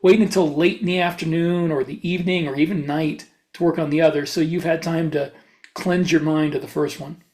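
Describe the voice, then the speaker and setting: A man's voice: on the high side, fairly even intonation, a little fast, a little mellow. A man co-hosting a podcast, talking casually as a writer giving advice. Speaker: podcast co-host, fiction writer. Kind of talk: casual chat.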